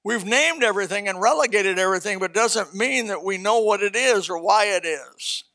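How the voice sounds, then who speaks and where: A man's voice: high voice, lively intonation, moderate pace, vocal fry, pushed and bright. A seventy-year-old man preaching a casual, blunt sermon, reading scripture and talking plainly to his congregation. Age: 70s. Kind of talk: casual sermon, Bible exposition.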